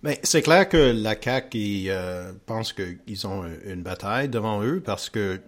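A man's voice: lowish voice, expressive, measured pace. Hesitant man, English Canadian accent. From Gaspésie, raised in eastern Ontario. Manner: hesitant, searching for words, many fillers. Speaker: podcast co-host. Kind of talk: casual political podcast chat.

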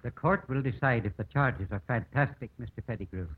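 An actor playing a stern, authoritative judge in a vintage radio drama, speaking formally and officially. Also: male voice, medium pitch, a little fast, lightly acted. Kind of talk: 1940s-50s radio drama acting. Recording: tinny, slightly noisy old radio broadcast.

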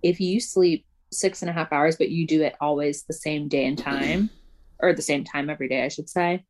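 A woman co-hosting a casual podcast, talking fast and loosely with lots of "like" and frequent laughs. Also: low voice, steady tone, darkish tone. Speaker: podcast co-host. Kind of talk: casual chat.

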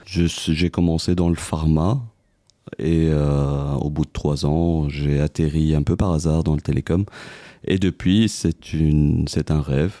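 A man in his forties with a very low, dark voice, recorded close on a dry mic with no room sound. He talks casually as a podcast guest, stopping often to restart his sentences and fill gaps. He comes from Tunisia. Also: steady tone, measured pace, no room sound. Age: 40s. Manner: hesitant, many fillers and restarts. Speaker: podcast guest, business owner. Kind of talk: casual interview.

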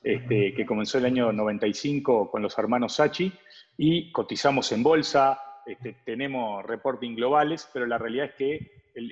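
A man answering interview questions in a conversational way, as a business guest. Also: mid-range voice. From Uruguay. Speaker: advertising agency partner, interview guest. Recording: dull audio with long reverb.

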